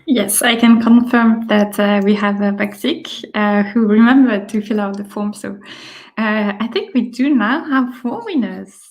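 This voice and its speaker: A woman's voice: mid-range voice, a little slow. A woman from Paris, hosting an online event on a video call, hesitant with many fillers. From Paris, France. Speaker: event host.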